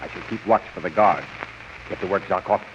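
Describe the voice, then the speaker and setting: An actor playing a steady, conspiring baron with calm, terse delivery in a 1930s radio serial, on a hissy old recording. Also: male voice, low voice, brisk, highs cut off, lightly acted. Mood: conspiratorial. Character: steady, conspiring nobleman baron, loyal ally.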